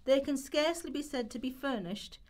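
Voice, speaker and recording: A woman's voice: sits high, fairly quick, darkish tone. A woman giving a scripted dramatic reading of Victorian prose in a radio play rehearsal. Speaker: reader of quoted historical text.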